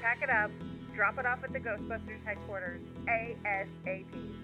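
A woman's voice: mid-range voice, fairly even intonation, moderate pace, music in the background. A woman acting an emergency dispatcher, official and emphatic with an ominous edge, through a telephone filter in an audio drama. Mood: urgent warning. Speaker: 911 dispatcher.

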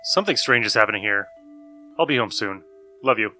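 A man's voice: fairly low pitch, lively intonation, a little fast, pushed and bright, faint music behind. A man playing a studious, overworked university professor in a scripted audio drama. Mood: puzzled, uneasy. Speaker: academic.

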